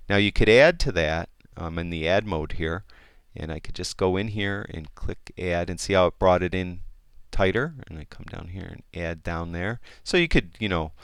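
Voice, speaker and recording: A man explaining a photo editing program in a casual, rambling tutorial, with many fillers and restarts, and a voice that is getting hoarse. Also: deep voice, lively intonation, moderate pace, no room sound, studio quality. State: losing his voice. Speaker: software tutorial instructor. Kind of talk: casual screen-recorded tutorial.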